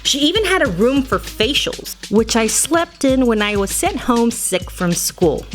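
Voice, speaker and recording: A woman's voice: on the high side, sing-song, unhurried. Woman telling nostalgic stories over music. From United States. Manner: playful, nostalgic, slipping into Spanish phrases. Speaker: storyteller. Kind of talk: personal scripted storytelling narration.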